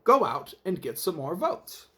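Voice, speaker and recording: A man's voice: on the high side, very animated, measured pace, sharp tone, heavily acted. A man voicing a helpful, patient male adviser in a children's storybook, explaining things emphatically. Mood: advising. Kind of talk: children's storybook read-aloud.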